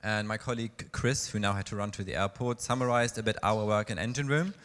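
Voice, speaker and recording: A man with a low voice reports his session's results at a conference. He works through the points from his notes. The sound carries a long hall reverb. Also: steady tone, brisk, a bit bright. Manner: summarizing from notes. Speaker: session coordinator presenting results. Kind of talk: conference presentation summary.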